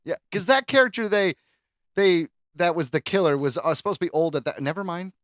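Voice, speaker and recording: A man co-hosting a podcast, chatting casually and rambling through animated asides. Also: high-pitched, brisk, dry recording, studio quality. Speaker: podcast co-host. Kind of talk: casual chat about horror films.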